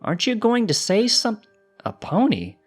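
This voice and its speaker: A man voicing a brisk, direct village wife and mother in a fantasy story. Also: high voice, wildly swooping pitch, husky, faint music behind, voice pushed up, full character voice. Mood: curious.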